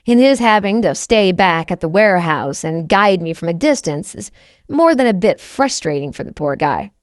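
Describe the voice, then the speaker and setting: A woman's voice: on the low side, expressive, moderate pace, no echo, studio quality. A woman reading a first-person novel aloud as an audiobook narrator.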